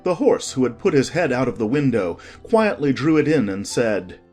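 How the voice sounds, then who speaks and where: A man's voice: medium pitch, big pitch swings, moderate pace, darkish tone, faint music behind. A man narrating a children's story from a script as the storyteller.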